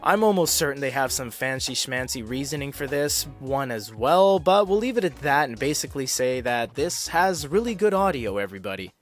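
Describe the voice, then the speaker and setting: A man's voice: mid-range voice, lively intonation, a little fast, hardly any pauses. A man narrating a casual tech review, explaining things in an informal, emphatic way. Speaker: product reviewer. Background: background music.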